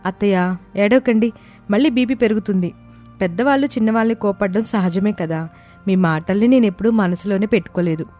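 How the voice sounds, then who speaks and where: A woman voicing a gentle, dutiful young daughter-in-law in a moral story, soothing and respectful. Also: mid-range voice, fairly quick, natural voice. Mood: caring. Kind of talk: dramatized moral story dialogue. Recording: music bed under the voice.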